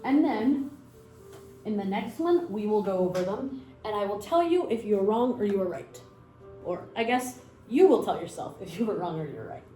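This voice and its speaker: A young woman talks through a language lesson in a loose, chatty, encouraging way, full of fillers and little asides. Her voice sits at an ordinary middle pitch. The room is echoey, with faint music underneath. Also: expressive, normal speed, mellow. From Mexico. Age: 20s. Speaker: language teacher. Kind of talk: casual spoken lesson. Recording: roomy echo with faint music.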